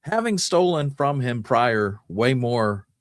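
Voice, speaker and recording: A man's voice: medium pitch, expressive, takes it slowly, no echo, clean studio sound. A man co-hosting a podcast, retelling history in a casual, conversational storytelling style. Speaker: podcast co-host.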